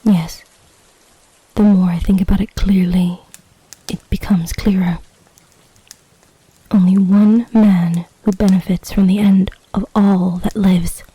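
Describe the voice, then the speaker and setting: A woman's voice: medium pitch, fairly even intonation, takes it slowly, pauses often, warm tone, slightly rough, no echo, low-quality recording. A woman playing a regal, possessive, lovestruck lamia empress in a roleplay audio drama, speaking in formal, stately, dramatic phrases. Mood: grave, calculating.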